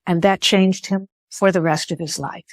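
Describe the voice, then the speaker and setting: An older woman whose first language was Greek, speaking in an interview in a thoughtful, measured way and pausing to rephrase. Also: on the low side, steady tone, dry recording. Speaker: guest. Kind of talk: interview conversation.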